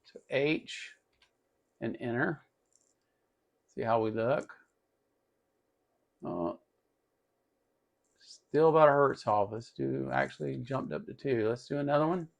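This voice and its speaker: A man with a medium-pitched voice and a pronounced gravelly creak walks through a hands-on demonstration. He speaks informally, thinking aloud step by step like a tutorial host at the workbench. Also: takes it slowly, long pauses. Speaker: host of an electronics tutorial channel.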